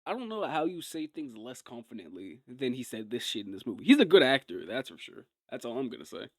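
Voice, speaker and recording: A man's voice: mid-range voice, big pitch swings, brisk, dry recording. A man doing casual live reaction commentary as a streamer, rambling and swearing in a slangy way.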